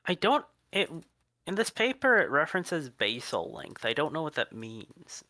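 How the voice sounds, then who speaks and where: A stream host chatting casually over a voice call, curious and full of quick questions. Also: mid-range voice, big pitch swings, pushed and bright, no echo. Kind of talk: casual chat on a live stream.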